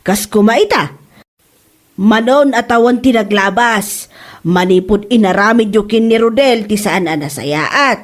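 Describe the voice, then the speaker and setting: A woman's voice: mid-range voice, lively intonation, unhurried. A woman playing a nagging mother who admonishes her grown son in an Ilocano comedy drama, moralizing in an Ilocano accent. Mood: admonishing. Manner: scolding, moralizing. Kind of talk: comedy audio drama acting.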